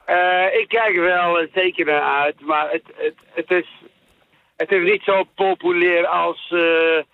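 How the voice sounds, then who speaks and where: Higher-voiced man on a phone line. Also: steady tone, no echo. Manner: halting, searching for words. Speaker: caller to a radio show. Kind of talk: casual phone-in opinion. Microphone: telephone. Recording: narrow tinny phone line.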